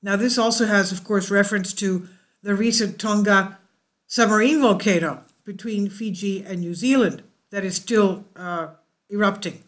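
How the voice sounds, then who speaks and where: A woman's voice: medium pitch, normal speed. Woman reading science news, hesitant asides. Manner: hesitant asides with fillers and self-corrections. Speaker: YouTube news host.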